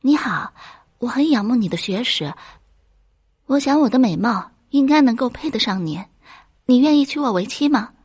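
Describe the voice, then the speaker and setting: Woman voicing a husky, high-pitched young daughter. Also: animated, moderate pace, darkish tone, no room sound, clean studio sound. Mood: admiring, bold. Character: young daughter, earnest, lovestruck, later tearful.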